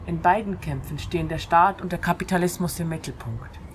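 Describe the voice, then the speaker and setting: A woman's voice: lowish voice, a little fast. A woman hosting a free radio show in an informal, conversational monologue, speaking directly to the listener. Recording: clear background noise, outdoor ambience. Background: ambience through an open window.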